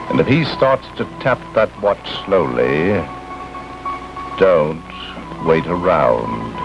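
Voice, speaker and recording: An actor playing a foreboding narrator who introduces a vintage television horror tale with slow, deliberate delivery. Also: male voice, extremely low pitch, sing-song, creaky, heavily acted. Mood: ominous, deliberate. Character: ominous male narrator of a supernatural horror anthology. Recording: muffled vintage television soundtrack under loud music.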